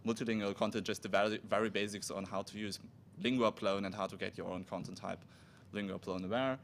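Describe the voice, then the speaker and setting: A man whose first language is German gives a technical talk at a conference in a fairly low voice. He speaks haltingly, breaking off words and starting over. A faint rumble sits under the recording. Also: fairly even intonation, gravelly. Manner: halting, with restarts and self-corrections. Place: conference room.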